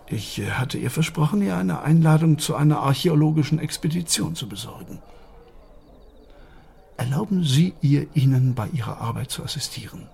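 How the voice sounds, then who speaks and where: Creaky-voiced courteous fatherly gentleman. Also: mid-range voice, heavily acted. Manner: courteous, formal, hesitant in places. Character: courteous, fatherly older gentleman, protective and persuasive. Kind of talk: German radio drama acting.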